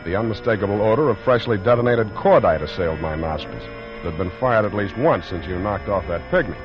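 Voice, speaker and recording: Guy playing wry private eye, lowish deadpan voice, muffled old radio show with music. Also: brisk, close to an everyday voice. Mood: wry, breezy. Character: wisecracking hardboiled private detective man. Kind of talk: 1940s radio acting with narration. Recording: muffled 1940s radio recording, noisy music.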